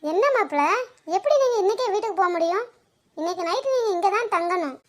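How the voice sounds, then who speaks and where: Woman doing a very high-pitched fussy cartoon mother. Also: expressive, quick pace. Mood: insistent. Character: fussy, insistent middle-aged mother and mother-in-law. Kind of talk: cartoon comedy dialogue.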